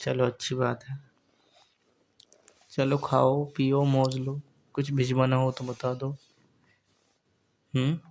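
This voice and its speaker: A man's voice: medium pitch, completely flat delivery, takes it slowly, gravelly, pauses often, darkish tone, a little hiss or hum. A middle-aged man in a casual personal call, talking in a teasing way and often repeating his phrases.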